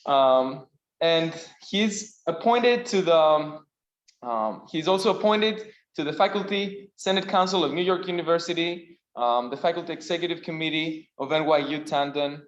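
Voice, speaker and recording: A man with a Greek accent hosts a seminar and introduces the guest speaker, halting with many fillers. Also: on the high side. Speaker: seminar host. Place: lecture room. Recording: echoey room, dull lo-fi sound.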